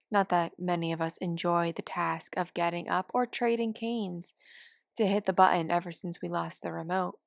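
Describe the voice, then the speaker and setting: Here is a woman performing a forgetful elderly woman in a care home, telling her own short story. She grumbles and rambles with wry indignation. Her pitch is middling for a woman, and the sound is dry, with no room to it. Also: no echo, close to an everyday voice. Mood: wry grumbling. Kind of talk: first-person dramatic monologue of short fiction.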